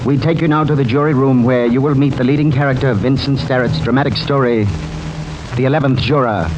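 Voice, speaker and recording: A man acting as an American mystery program host, with measured, formal 1940s radio announcing. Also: mid-range voice, fairly even intonation, noisy background, natural voice. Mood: formal, welcoming. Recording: vintage radio recording with music behind.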